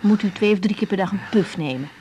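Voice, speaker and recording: An actress playing a caring, practical young female doctor in a radio drama, asking and explaining in a brisk, direct way. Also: mid-range voice, darkish tone, slight background noise, natural voice. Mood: professional, attentive. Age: young adult.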